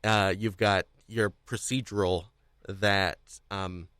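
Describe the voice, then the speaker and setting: Low-pitched, rambling man on a podcast. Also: steady tone, takes it slowly, a bit bright, clean tone, dry recording, clean studio sound. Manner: rambling, hesitant phrasing. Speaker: podcast co-host. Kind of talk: casual panel chat.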